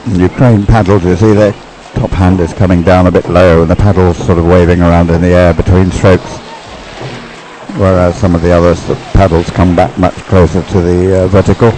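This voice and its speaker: A man's voice: low voice, level tone, brisk, low-quality recording. A man giving live race commentary in a rambling, hesitant, informal way, explaining as it goes. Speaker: sports commentator.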